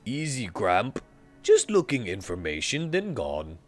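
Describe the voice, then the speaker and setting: A man's voice: lowish voice, wildly swooping pitch, a little slow, faint music behind, voice pushed up. A man voicing a tough male street runner who speaks in blunt, clipped broken English. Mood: blunt questioning.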